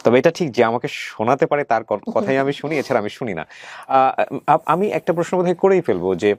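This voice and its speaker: A man's voice: mid-range voice, lively intonation, a little fast, darkish tone. A Bangladeshi man hosting a conversational interview, attentive, with occasional English words. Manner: attentive, murmurs agreement, some English words. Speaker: talk show host.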